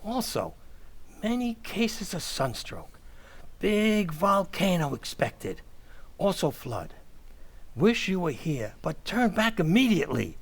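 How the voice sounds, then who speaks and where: A woman's voice: on the low side, big pitch swings, slow pace, full character voice. A woman playing a bumbling, panicky working-class American husband, stammering and blustering in a 1940s radio comedy style. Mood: muttering, scheming.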